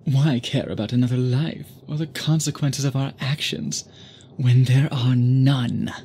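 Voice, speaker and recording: A man playing an obsessed, guilt-ridden immortal vampire suitor in an intimate audio roleplay, speaking formally in halting, brooding phrases. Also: medium pitch, steady tone, mellow, slight background noise, natural voice. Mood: bitter, intense. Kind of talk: intimate ASMR roleplay, dramatic monologue.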